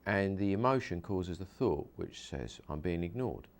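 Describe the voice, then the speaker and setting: A man's voice: low voice, animated, moderate pace. A man, a hypnosis practitioner, interviewing a guest and explaining his method earnestly, with many repeats and restarts.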